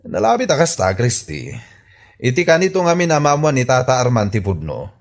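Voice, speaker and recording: A man's voice: mid-range voice, brisk, vocal fry. A man playing a gossipy, teasing village man in an Ilocano radio comedy drama, speaking with an Ilocano accent. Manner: teasing, animated.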